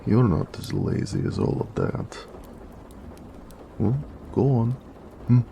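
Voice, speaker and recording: A very deep, heavily gravelled orc blacksmith acted by a man, speaking. He is a gentle, doting lover who teases affectionately in a very slow, unhurried drawl, as in an intimate romantic audio roleplay. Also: huge pitch swings, slight background noise, full character voice. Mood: playful coaxing. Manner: affectionate, playfully sarcastic, unhurried.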